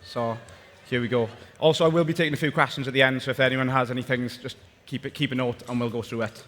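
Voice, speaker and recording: A man's voice: mid-range voice, steady tone, fast talker, a bit bright. A young man with a strong Welsh accent from Swansea, chatting casually through a founder's talk with slides, often restarting his sentences. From Wales. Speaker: company founder giving a talk. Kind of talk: conference presentation with slides. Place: large conference hall. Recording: long hall reverb.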